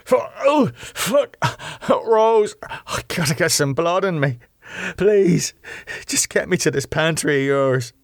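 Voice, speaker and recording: Man acting a desperate starving vampire, strained and higher-pitched. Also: animated, takes it slowly, no echo, studio quality. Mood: desperate pleading. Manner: strained, hissing, pleading.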